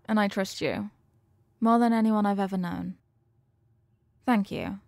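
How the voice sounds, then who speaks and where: A woman's voice: medium pitch, expressive, a little slow, creaky, darkish tone, dry recording, clean studio sound. A woman playing an earnest, formal young scientist who is fond of her test subject, in a scripted roleplay with precise, clinical wording. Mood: polite, businesslike. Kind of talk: scripted roleplay addressed to the listener.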